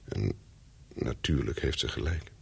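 An actor playing a lonely, brooding man who tells his own story in a radio play, deliberately and slowly. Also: extremely low pitch, expressive, gravelly, breathy edge, heavily acted. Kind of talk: radio play monologue and dialogue.

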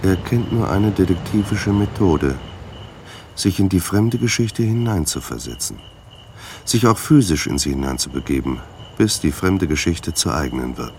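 An actor narrating a detective story for a German radio drama in a sombre, matter-of-fact way. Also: male voice, low voice, animated, moderate pace, a little mellow, slight background noise.

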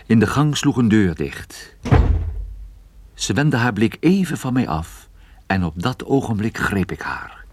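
A man's voice: lowish voice, sing-song, measured pace, noisy background. Een man die als privédetective in een hoorspel zijn zaak navertelt, strak en gespannen. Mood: tense narration. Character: male private detective recounting his case, tense and terse. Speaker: first-person narrator. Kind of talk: scripted radio drama narration.

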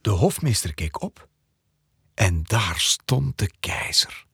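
A man narrating a scripted fairy tale as a storyteller, with a husky, breathy voice. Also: on the low side, sing-song, takes it slowly, pushed and bright, dry recording. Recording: dry, close, faint music behind.

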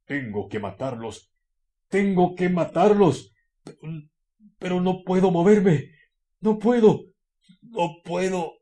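An actor playing an anguished, helpless husband in a radio drama, halting and repeating himself in strained pleading. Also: male voice, sits high, lively intonation, mellow. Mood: desperate, helpless.

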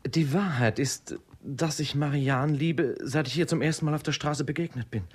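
An actor playing a lovesick young son, earnest and formally polite, in a classic comedy radio play. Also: male voice, a little high, moderate pace, slightly rough. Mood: earnest, confessing. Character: lovesick young son, respectful but guarded with father.

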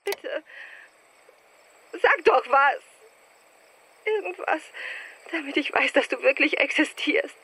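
An actress in a radio drama playing a fragile, lonely young film star with a breathy, halting, pleading way of speaking. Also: female voice, sits high, lively intonation, sharp tone, heavily acted, poor audio. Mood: desperate pleading.

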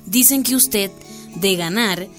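A woman's voice: mid-range voice, takes it slowly, fairly bright tone, soft background music. A woman playing a composed radio journalist in a drama, asking pointed but courteous interview questions. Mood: curious.

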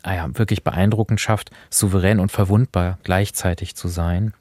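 A man's voice: low voice, steady tone, normal speed, darkish tone, no echo. A man hosting a radio discussion in a conversational, reflective way, feeling for his words as he goes. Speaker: host.

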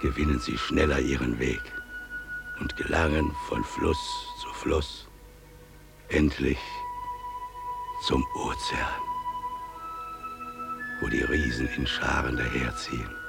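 An actor playing a humble, pious father and animal keeper in a radio drama, declaiming solemnly with weighty pauses. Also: rumbling low, huge pitch swings, creaky, over loud music, exaggerated voice. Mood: solemn, reverent. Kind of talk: German radio drama acting.

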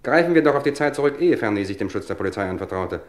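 An actor playing a smug, cultivated murderer who taunts police with measured, theatrical politeness in an old German radio crime drama. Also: male voice, on the low side, expressive, close to an everyday voice. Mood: coolly boastful.

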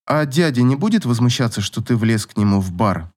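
A man's voice: medium pitch, very animated, fairly quick, darkish tone, lightly acted. A man voicing an insistent, questioning young single mother in an audiobook romance, with a challenging manner. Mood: playful.

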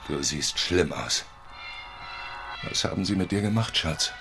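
A man's voice: low-pitched, expressive, measured pace, music in the background. An actor playing a naive, lovesick and anxious man in a German radio crime drama, earnest and pleading. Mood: tender, earnest.

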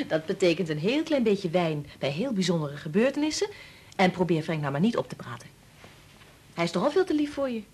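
An actress playing a brisk, capable statistician and mother in a Dutch radio play, firm and gently teasing. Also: on the low side, big pitch swings, slightly rough. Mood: motherly.

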